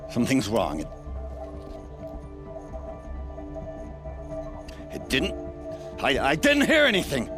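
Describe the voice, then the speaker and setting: A man's voice: medium pitch, huge pitch swings, normal speed, over music. A man playing a vengeful, bitter villain with theatrical menace in a video game cutscene. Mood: faltering disbelief. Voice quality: menacing delivery.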